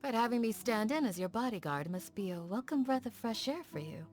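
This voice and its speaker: A woman voicing a kindly, protective bodyguard in a video game, calm, considerate and reassuring. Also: a little high, brisk, soft background music, lightly acted. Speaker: game character voice actor. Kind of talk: voiced game dialogue.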